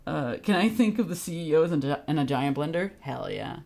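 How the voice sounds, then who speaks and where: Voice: medium pitch, animated, normal speed, creaky, darkish tone, faint music behind. A young adult game streamer chatting casually with viewers in a sweary, giggly, teasing manner. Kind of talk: casual livestream chat with viewers.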